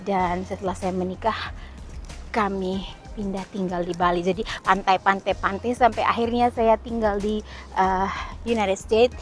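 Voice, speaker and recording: A woman's voice: medium pitch, level tone, unhurried, soft background music. A middle-aged woman from eastern Indonesia chatting casually as she walks along a beach, with frequent "eh" fillers and short laughs. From East Nusa Tenggara, eastern Indonesia. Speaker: travel vlogger. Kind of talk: casual walking vlog chat. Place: outdoors on a beach.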